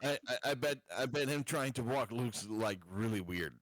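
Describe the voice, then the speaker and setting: A man's voice: mid-range voice, lively intonation, normal speed, a bit bright, no echo. A man joking casually as a player in a tabletop roleplaying game.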